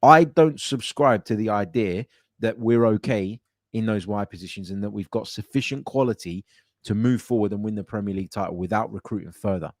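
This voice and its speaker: Male podcast host with a low voice and a dry home-office sound, casual and chatty. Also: brisk, a little mellow, dry recording. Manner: conversational, chatty, with frequent fillers and restarts. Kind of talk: casual call-in podcast chat.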